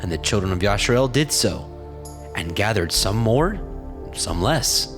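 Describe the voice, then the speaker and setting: A man's voice: on the low side, lively intonation, a little slow. A man narrating scripture from a script in a solemn, formal storytelling manner. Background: prominent music.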